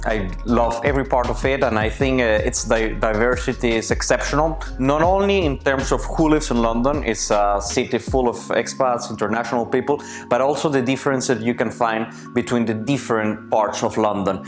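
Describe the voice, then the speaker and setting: A man's voice: mid-range voice, moderate pace, few pauses. Thoughtful man, faint music behind. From Colombia. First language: Spanish. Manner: thoughtful, searching for words. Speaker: interviewee. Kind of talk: personal interview answers.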